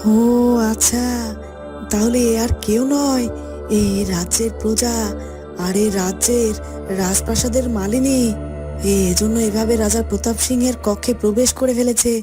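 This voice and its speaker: A woman's voice: fairly high pitch, steady tone, moderate pace, natural voice. A woman voicing an evil shape-shifter who has taken a king's form, scheming and domineering, in a Bengali cartoon. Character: evil shape-shifter posing as a male usurper king. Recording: music under the voice.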